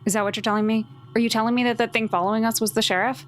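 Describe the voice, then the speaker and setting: A woman's voice: fairly high pitch, fairly even intonation, racing. Blunt woman game player over music. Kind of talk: casual in-character game chat.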